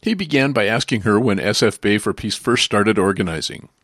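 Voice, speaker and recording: A man reading a scripted radio news introduction in a measured, formal way. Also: lowish voice, animated, fairly bright tone, no echo, studio quality.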